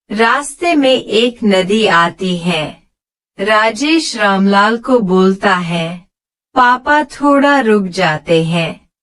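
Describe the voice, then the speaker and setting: Very slow female story narrator. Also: mid-range voice, a bit bright. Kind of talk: scripted children's moral story narration.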